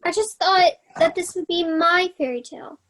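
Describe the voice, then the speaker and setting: Romantic young heroine played by a woman, high light voice, eager and dreamy, children's stage play. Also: moderate pace, fairly bright tone. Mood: wistful. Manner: earnest, wistful. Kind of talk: staged reading of children's theater.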